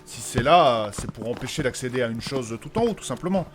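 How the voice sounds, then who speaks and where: A man's voice: mid-range voice, expressive, brisk, music in the background, natural voice. A man playing a polite, pedantic fantasy guide who speaks in a formal, precise and mannered way. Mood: earnest, explaining.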